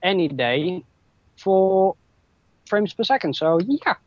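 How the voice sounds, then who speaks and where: A man's voice: high voice, sing-song, slow pace, no echo, muffled recording. A young man chatting on voice chat while gaming, joking and easily flustered. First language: not English. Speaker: gamer on voice chat. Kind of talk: casual chat while playing.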